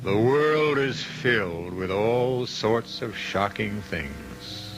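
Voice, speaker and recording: A man's voice: fairly low pitch, expressive, takes it slowly, over loud music. A man hosting and narrating a suspense radio drama in a wry, macabre, theatrical manner, fond of puns. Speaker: host and narrator of a mystery radio show.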